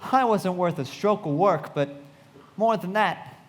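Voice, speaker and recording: A thoughtful young narrator telling a tragic memoir, his voice a little high. The live radio delivery is measured and loyal in feeling, carried with the reverb of a hall. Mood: reflective, sombre. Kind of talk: dramatic scripted narration in live radio play.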